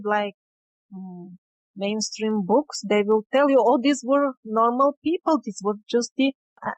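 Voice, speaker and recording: A woman with a fairly high voice talks as a guest in an interview. She goes very slowly and conversationally, feeling for her words. The recording is dry and close, with little room sound. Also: dry recording, lo-fi sound.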